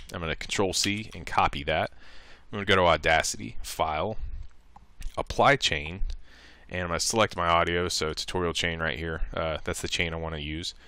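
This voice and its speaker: A man presenting a casual software tutorial, talking informally through each step and thinking aloud. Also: sits low, no echo.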